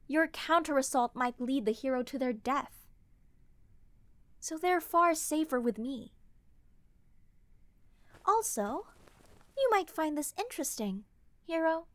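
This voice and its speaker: Woman acting high-voiced, earnest royal lady. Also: dry recording. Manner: formal, polite, earnest. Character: earnest, courteous young royal ruler, principled and protective. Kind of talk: fantasy audio roleplay acting.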